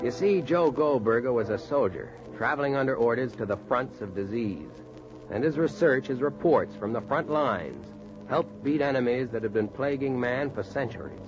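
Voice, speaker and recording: An American man acting an earnest, determined doctor and storyteller in a measured 1940s radio style. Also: mid-range voice, lively intonation, lightly acted. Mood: stirring, solemn. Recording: muffled vintage radio, music behind.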